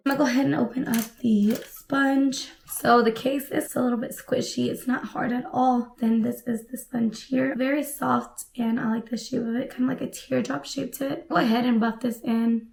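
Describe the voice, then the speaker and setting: A young woman with a raspy, hoarse voice, still recovering after losing it, chatting casually through a makeup tutorial in a small room at home. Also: fairly high pitch, moderate pace, few pauses. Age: young adult. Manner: relaxed, chatty product commentary while applying makeup. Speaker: beauty vlogger.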